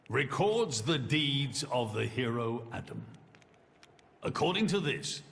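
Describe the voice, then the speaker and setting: An actor playing a solemn, regal monarch in a video game, speaking formally and gravely with weighty pauses. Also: male voice, mid-range voice, exaggerated voice. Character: solemn, regal male monarch burdened by his judgment. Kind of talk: dramatic video game cutscene acting. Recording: loud music behind the voice.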